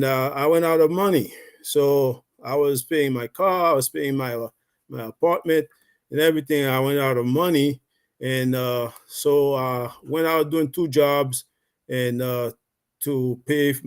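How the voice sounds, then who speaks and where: A man's voice: mid-range voice, a little mellow. Haitian-accented man telling his story. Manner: rambling, many fillers and restarts. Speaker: guest. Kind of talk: casual live-stream storytelling.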